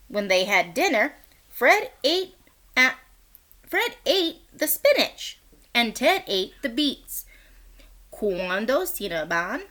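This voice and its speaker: A woman reading a bilingual children's picture book aloud as a teacher, in a deliberate, expressive way. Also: on the high side. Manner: deliberate, expressive, acts out short quotes; encouraging asides and questions to young listeners.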